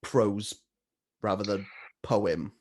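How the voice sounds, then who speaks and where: A man hosting a casual book chat, self-mocking and quick to laugh. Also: on the low side, steady tone, a little mellow. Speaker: book channel host.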